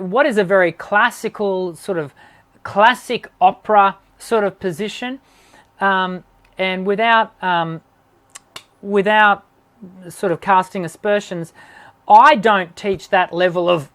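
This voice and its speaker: A woman, a vocal coach answering viewer questions on a live stream in a meandering way, often correcting herself mid-sentence. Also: mid-range voice. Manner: meandering, thinks aloud, self-correcting.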